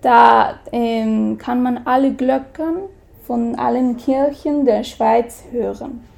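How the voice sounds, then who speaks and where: A Swiss woman with a Swiss German accent speaks slowly and hesitantly, searching for words with plenty of fillers. Her voice sits slightly high, with a gravelly edge and a dark, mellow tone. She laughs often between her sentences. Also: fairly even intonation. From Switzerland. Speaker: guest. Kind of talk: casual interview.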